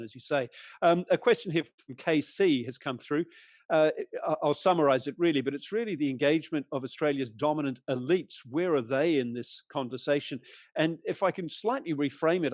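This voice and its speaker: A man who grew up in New Zealand moderates a panel over a video call. He talks in a relaxed, conversational way and sums up audience questions. The sound is close and dry. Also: a little high, fairly quick, no room sound. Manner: conversational, summarizing.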